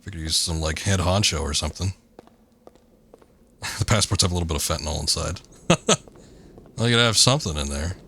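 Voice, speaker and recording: A man with a gravelly voice giving rambling, joking commentary while streaming a game. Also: sits low, animated, fairly quick, long pauses, bright, forceful tone, a little hiss or hum. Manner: rambling, laid-back, joking. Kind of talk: casual gameplay commentary.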